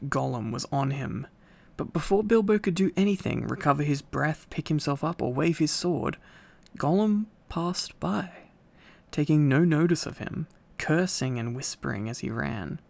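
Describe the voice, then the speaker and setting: A man narrating a storybook in a relaxed bedtime reading style. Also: a little high, a little slow, gravelly.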